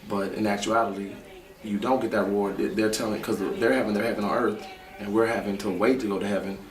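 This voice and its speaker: A man's voice: lowish voice, steady tone, quick pace, faint music behind. A man in his twenties giving long, earnest interview answers. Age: 20s. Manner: earnest, explaining at length. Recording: roomy echo from a distance with faint noise.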